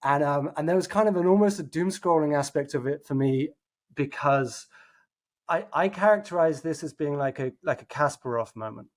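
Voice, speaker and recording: A man's voice: fairly high pitch, lively intonation, moderate pace. A man speaking as a guest in a podcast interview, who explains his views earnestly and thoughtfully with frequent fillers and restarts. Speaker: guest, innovation executive. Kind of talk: casual interview chat.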